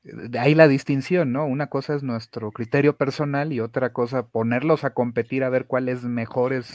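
A man's voice: medium pitch, steady tone, normal speed, a little mellow, no echo. A man co-hosting a casual podcast, agreeable and a bit hesitant. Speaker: podcast co-host. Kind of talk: casual discussion.

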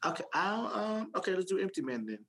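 Halting, playful male podcast co-host. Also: high voice, very animated, fairly bright tone, slight background noise. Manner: halting, many ums and restarts, playful. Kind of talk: casual chat.

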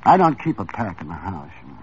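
Brief: showy, fussy shopkeeper, lively swooping delivery, 1950s radio drama, dull old broadcast sound. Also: male voice, low voice, quick pace. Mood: effusive. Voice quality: gushing, showy. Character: fussy, theatrical male cologne seller. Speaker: shop owner. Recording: muffled old radio recording with faint hiss.

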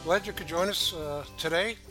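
A man's voice: fairly high pitch, normal speed, music in the background. A man chatting casually as co-host of a talk show. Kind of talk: casual chat.

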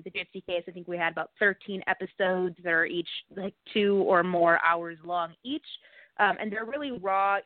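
A woman's voice: fairly low pitch, no echo. A woman podcaster being interviewed, explaining things in a casual, conversational way. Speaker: podcast host, interview guest. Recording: dry, narrow phone-line audio.

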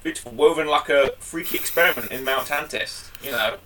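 A man's voice: medium pitch, fairly quick, pushed and bright, recorded from a distance, slight background noise, low-quality recording. A man co-hosting a casual review podcast, chatty and jokey, often calling his co-host by name.

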